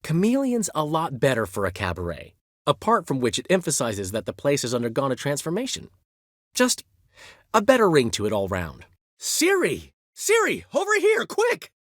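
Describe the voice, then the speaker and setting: A man's voice: on the high side, a bit bright, dry recording, clean studio sound, heavily acted. An actor playing a flamboyant, talkative male bard in a video game, witty and theatrical. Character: flamboyant, chatty middle-aged male bard and poet. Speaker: game character.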